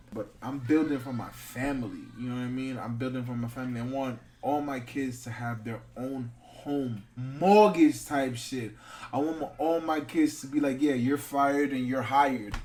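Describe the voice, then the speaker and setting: A man's voice: medium pitch, moderate pace, a little mellow. Blunt, swearing man in his thirties. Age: 30s. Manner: blunt, emphatic, swears freely. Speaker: podcast co-host. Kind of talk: casual chat.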